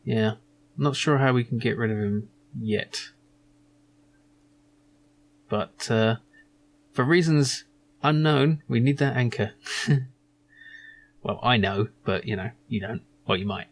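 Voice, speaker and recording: Husky man giving casual game commentary. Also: mid-range voice, slow pace, long pauses. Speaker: let's play host. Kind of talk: casual gameplay commentary, reading game dialogue aloud.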